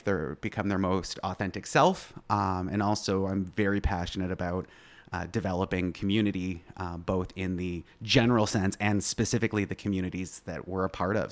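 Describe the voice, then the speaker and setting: Man, young adult, from Eastern Canada, slight Canadian accent, podcast guest, playful, self-deprecating, articulate, lowish voice. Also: a bit bright. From Canada, New Brunswick. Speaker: guest, grant coordinator and pastor. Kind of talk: interview conversation.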